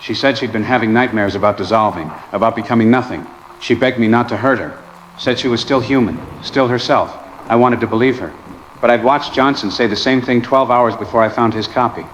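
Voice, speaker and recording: An actor playing an exhausted, haunted research doctor, grimly confessing in clipped sentences into a personal log for an audio drama. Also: male voice, mid-range voice, brisk, lightly acted. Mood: remorseful. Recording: dull, clearly noisy log recording.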